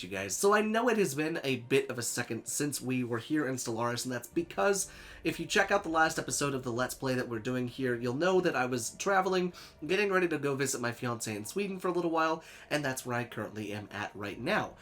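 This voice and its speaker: A man's voice: medium pitch, expressive, fairly quick, fairly bright tone. A young man hosting a casual gaming let's play, chatty and upbeat, laughing easily, sounding a bit stuffy and sniffly from a cold. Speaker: gaming channel host. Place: home in Sweden.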